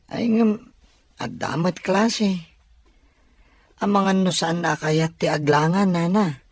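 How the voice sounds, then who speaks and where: An actor playing a grown child who gently reassures a worried mother in an Ilocano radio drama. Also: medium pitch, steady tone, takes it slowly, no room sound. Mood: reluctant.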